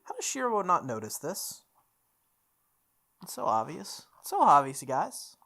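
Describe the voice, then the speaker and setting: A woman with a low voice hosts a visual novel playthrough. She reads the narration aloud casually and reacts informally as she goes. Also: big pitch swings, a little slow, vocal fry, fairly bright tone, a little hiss or hum. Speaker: gaming channel host.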